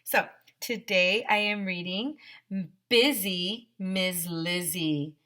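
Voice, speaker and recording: Slow, bright-voiced woman reading to children. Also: fairly low pitch. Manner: expressive, exaggerated emphasis, addresses children directly. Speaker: teacher reading a picture book aloud to children. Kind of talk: animated storybook read-aloud with asides and questions to young listeners.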